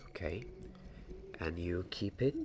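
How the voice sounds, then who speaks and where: A man in his twenties playing a patient, mysterious young wandmaker apprentice in a soft-spoken, deliberate ASMR roleplay. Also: low voice, slight background noise. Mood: hushed, instructive. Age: 20s. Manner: slow, hushed, deliberate, sometimes slipping into whisper.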